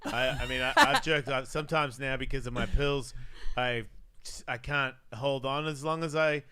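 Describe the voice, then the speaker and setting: A man's voice: medium pitch, animated, moderate pace, smooth. A middle-aged man with an Australian accent, hosting a show with crude, teasing banter. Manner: loud, teasing, swears a lot. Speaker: radio host.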